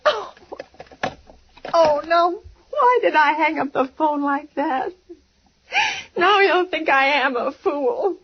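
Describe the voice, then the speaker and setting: A very high-voiced, fretful invalid wife, stammering and pleading down the phone in an old radio play. The sound is dull and lo-fi, like an old broadcast recording. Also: female voice, animated, takes it slowly, long pauses, a little hiss or hum. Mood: anguished. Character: frantic bedridden woman, demanding and nervous. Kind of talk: 1940s radio acting.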